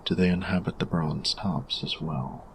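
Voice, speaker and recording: A man playing a grumpy, learned artificer in an audio roleplay, speaking in formal, precise, measured diction. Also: a bass voice, creaky, noisy background, full character voice. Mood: scholarly, curious. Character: grumpy male artificer, learned, formal, reserved steampunk inventor. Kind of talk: scripted audio roleplay, one-sided dialogue.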